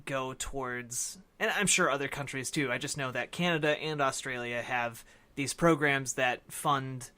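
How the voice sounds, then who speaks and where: A man's voice: medium pitch, moderate pace, bright, forceful tone. A man co-hosting a podcast, discussing film casually and thinking aloud as he explains. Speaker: podcast co-host.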